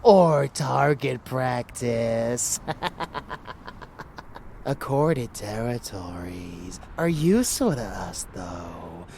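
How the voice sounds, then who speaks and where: A man playing a haughty, seductive and menacing vampire lover in an intimate ASMR roleplay, with breathy, teasing murmurs. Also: fairly low pitch, darkish tone, slight background noise, full character voice. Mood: smug, superior. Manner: breathy whispering murmurs, slow and deliberate, teasing.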